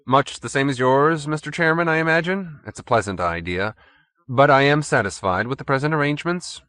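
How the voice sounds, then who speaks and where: A man voicing a polite, patient, sinister underling in an audiobook, with measured manner. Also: medium pitch, animated, sharp tone, clear, resonant tone, no room sound, voice pushed up. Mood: cool courtesy. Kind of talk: audiobook character acting.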